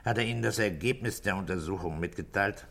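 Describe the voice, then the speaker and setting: An actor in a 1960s German radio play, playing a calm, authoritative middle-aged police inspector with a terse, questioning manner. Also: male voice, deep voice, quick pace, creaky, heavily acted. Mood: calm, probing.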